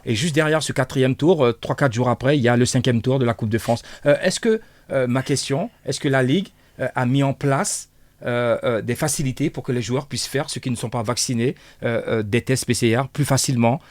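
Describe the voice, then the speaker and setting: A man's voice: mid-range voice, brisk, no echo. A man hosting a live radio interview, asking wordy, hesitant questions that circle back on themselves. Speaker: radio host. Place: radio studio.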